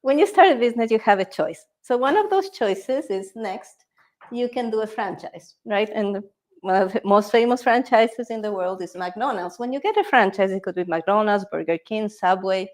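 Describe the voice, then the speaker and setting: Woman, feng shui consultant presenting live, conversational, mid-pitched voice. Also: animated, moderate pace. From Ecuador. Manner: conversational, checks in with tag questions.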